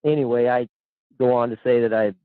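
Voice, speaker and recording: A man's voice: mid-range voice, steady tone, brisk, clear, resonant tone, no room sound. Caller, man in his 60s, rambly and repetitive, phone-line sound, dry. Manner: rambling, repeats words, chuckles. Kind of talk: call-in radio chat. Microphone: telephone.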